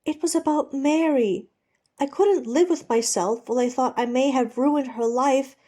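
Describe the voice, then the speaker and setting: A woman voicing a desperate, apologetic gentleman pirate with an earnest, pleading manner in a storybook reading. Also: sits high, normal speed, pitched above the performer's own voice.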